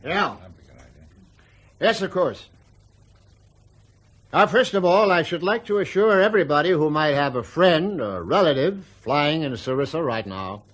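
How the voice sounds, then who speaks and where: An actor playing a reassuring, defensive aircraft company spokesman in a radio drama, explaining formally and carefully. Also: high-pitched. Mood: reassuring, placating. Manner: measured, formal explaining with hedged emphasis.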